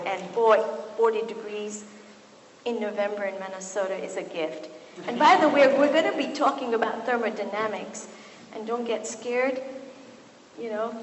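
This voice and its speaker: A middle-aged woman from Kenya gives a physician's lecture in a large university hall. She tells stories with lively asides in a fairly high voice, and the room's long echo rings around her words under a faint hiss. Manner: warm and storytelling, with lively asides. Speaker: physician and speaker. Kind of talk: lecture talk to an audience. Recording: echoey hall, faint noise.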